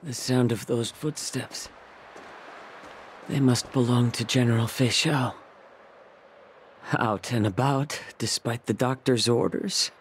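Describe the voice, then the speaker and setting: A man playing a gentle, wry, quietly stoic healer in an anime game, soft-spoken and courteous. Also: mid-range voice, fairly even intonation, slow pace, long pauses, a little hiss or hum, lightly acted. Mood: calm, wry.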